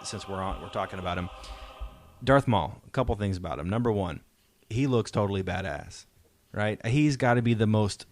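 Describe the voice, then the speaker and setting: A man hosting a podcast discussion, talking casually and enthusiastically in informal, slangy language. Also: low voice. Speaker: podcast host. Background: music playing faintly.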